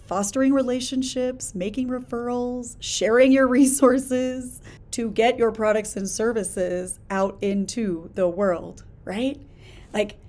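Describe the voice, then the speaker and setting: A woman hosting a solo podcast, talking in an energetic, emphatic, conversational way with a hoarse voice while she gets over a chest illness. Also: fairly high pitch. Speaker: podcast host. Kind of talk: casual solo podcast talk.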